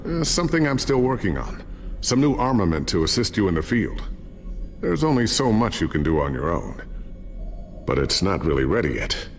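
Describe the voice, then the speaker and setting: A careful tech inventor and loyal ally in a video game. His voice is low and steady, explaining things in a measured, reassuring manner. Faint music sits underneath. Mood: helpful, steady.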